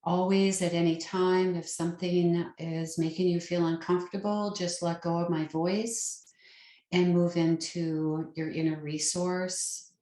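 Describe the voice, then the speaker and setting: A woman leading a guided meditation as a yoga nidra teacher, with a soothing, gentle and unhurried manner. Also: on the low side, level tone. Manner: soothing, unhurried, gentle instruction with long pauses. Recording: roomy, echoing sound.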